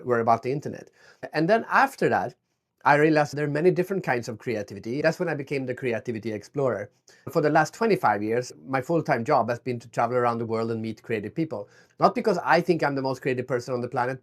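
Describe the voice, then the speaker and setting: A man's voice: mid-range voice, fast talker. A man from Sweden, a guest author, answering interview questions in an enthusiastic, reflective way over a video call. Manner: reflective, enthusiastic, with some restarts.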